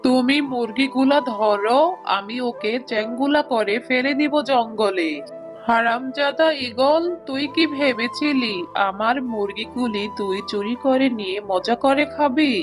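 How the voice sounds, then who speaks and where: Bossy cartoon fox husband, highish voice by a woman. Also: measured pace, music in the background, natural voice. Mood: angry, gloating. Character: bossy, food-loving fox husband, self-styled pundit. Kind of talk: cartoon character acting.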